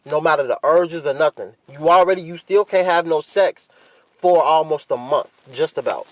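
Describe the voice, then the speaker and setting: Higher-pitched man in candid, slangy monologue. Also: normal speed, dry recording, rough recording. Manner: blunt, heavily profane, slangy, repeats himself for emphasis. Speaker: podcast host.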